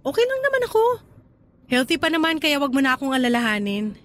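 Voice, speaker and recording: A woman's voice: sits high, very animated, brisk. A Filipino woman acting a guarded, evasive partner with a secret, in radio drama dialogue. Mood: nervous, uneasy. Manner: hesitant, deflecting.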